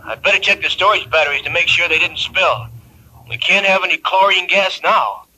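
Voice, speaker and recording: A man's voice: sits high, fairly quick, pushed and bright, heavily acted. A man playing a worried, dutiful submarine engineer in old-time radio drama style. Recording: noisy old radio recording.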